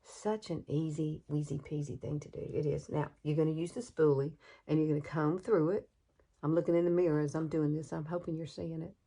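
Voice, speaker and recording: A woman's voice: low voice, level tone, a little fast, creaky, dark tone. A woman in her sixties with a Southern US accent, chatting folksily through a makeup tutorial, filmed on a phone in her bedroom. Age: 60s. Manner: chatty, folksy, laughs often. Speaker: beauty vlogger. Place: bedroom at home. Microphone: phone camera, no external mic.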